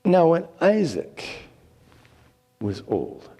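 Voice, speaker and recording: Male dramatic Bible reader: husky, very animated pitch, deliberate swells and hushes, reverberant hall. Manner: theatrical, deliberate, swelling and hushing. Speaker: scripture reader. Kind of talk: dramatic reading of scripture.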